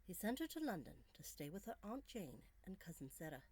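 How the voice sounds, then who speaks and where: A woman author reading her own gothic romance story as scripted narration, measured and expressive. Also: medium pitch, breathy edge.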